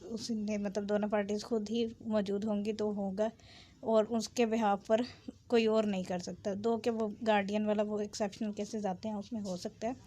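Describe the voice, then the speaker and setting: A woman giving an explanatory law lecture, switching between Urdu and English and reading statutes haltingly before explaining them. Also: mid-range voice, mellow. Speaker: law teacher.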